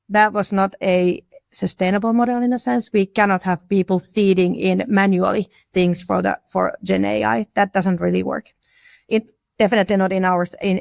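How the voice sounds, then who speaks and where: This is a woman on a conference panel with a gravelly edge to her voice. She talks frankly and with confidence. Her phrases often restart. The sound is close and dry. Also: medium pitch, dry recording. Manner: frank, self-assured, frequent restarts.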